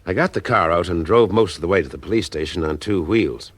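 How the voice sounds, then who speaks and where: Low-pitched male private eye. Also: fairly quick, husky, no room sound. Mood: wry narrating. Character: male private eye narrating his case, wry, sympathetic. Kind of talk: audio drama detective acting with first-person narration.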